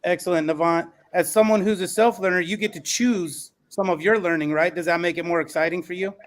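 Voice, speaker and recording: A man with a somewhat high-pitched voice moderates an educational panel. He speaks like an encouraging educator, affirming guests and setting up questions for them. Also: steady tone, a little fast.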